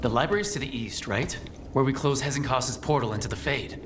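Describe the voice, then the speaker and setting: A man playing a practical young male adventurer in a video game, speaking in a direct, brisk way. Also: medium pitch, noisy background, lightly acted. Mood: curious.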